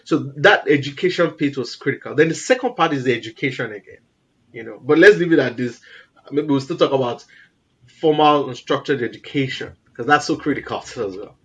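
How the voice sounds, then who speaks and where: A man from Nigeria with a naturally loud voice, talking as an interview guest in an animated, emphatic way. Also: medium pitch, brisk, slightly rough. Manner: animated, emphatic, repeats words for stress. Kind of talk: casual interview conversation.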